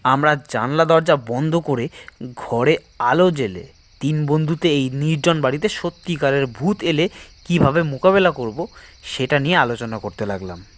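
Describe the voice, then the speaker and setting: A man narrating a horror audio story in a suspenseful, expressive style with drawn-out dramatic phrasing. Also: on the high side. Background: background music.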